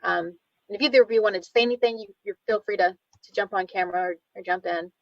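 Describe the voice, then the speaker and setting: Halting woman, dry and dull sound. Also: mid-range voice, vocal fry, dry recording. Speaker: city preservation planner. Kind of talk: informal spoken presentation at a public meeting. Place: remote online video meeting. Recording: dull, lo-fi online meeting audio.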